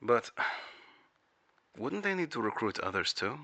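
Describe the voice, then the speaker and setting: A man's voice: medium pitch, big pitch swings, measured pace, sharp tone, no room sound, full character voice. A man playing a formal, probing intelligence agent who takes testimony in an audio drama. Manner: formal, inquisitive. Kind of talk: audio drama interrogation dialogue.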